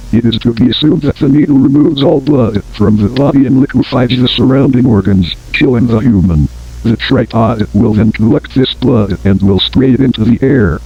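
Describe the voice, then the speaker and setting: A man playing a grave announcer on an emergency survival tape in an analog horror drama, formal, measured and deadpan. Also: medium pitch, husky. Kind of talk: scripted instructional tape narration, analog horror. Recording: dull lo-fi old tape, music behind.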